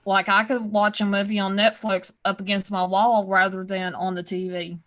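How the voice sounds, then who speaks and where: A woman with a Southern American accent, explaining something casually and haltingly. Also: medium pitch, level tone, a bit bright. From Southern United States. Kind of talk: casual chat.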